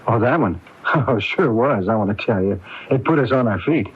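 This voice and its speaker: An actor playing a nervous, evasive and resentful younger brother in a radio mystery drama, with a husky voice. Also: male voice, medium pitch, lively intonation, normal speed. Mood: defensive. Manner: evasive, defensive. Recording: tinny, band-limited AM radio broadcast recording.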